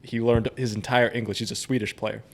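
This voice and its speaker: A man's voice: mid-range voice, level tone, a little fast, vocal fry. Man, podcast host, casual chat, rambling, self-correcting.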